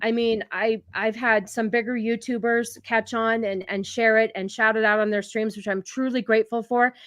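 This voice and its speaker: A woman guest on an online chat show talks in an earnest, heartfelt way and pokes fun at herself. Her voice sits a little high. The sound is dry and close, with no room echo. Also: little pitch movement, normal speed, fairly bright tone. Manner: earnest, heartfelt and self-deprecating. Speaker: podcast guest. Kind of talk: casual chat.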